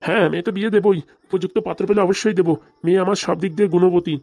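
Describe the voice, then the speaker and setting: A man doing a rapid-fire older village father, cartoon. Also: high-pitched, fairly even intonation, darkish tone, no room sound, studio quality. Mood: eager. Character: older village father, easygoing, eager to marry off daughter. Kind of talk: fast comic cartoon dialogue.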